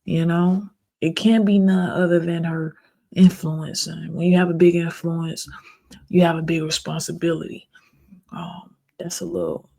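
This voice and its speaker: A soft-spoken reaction video host talking casually and directly to viewers. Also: mid-range voice, gravelly, slightly rough. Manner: soft-spoken, calls it an ASMR voice; chatty, speaks directly to viewers.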